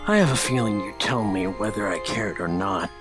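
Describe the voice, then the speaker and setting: A man's voice: lowish voice, moderate pace, music in the background, close to an everyday voice. A man playing a stiff, brooding cartoon hedgehog antihero, stern and dry, in a fan fiction reading.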